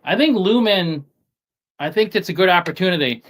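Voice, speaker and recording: A man from New York City with a softened New York accent, talking casually as an interview guest, halting and often repeating words as he restarts. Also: high-pitched, lively intonation. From Staten Island, New York City. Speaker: guest investor.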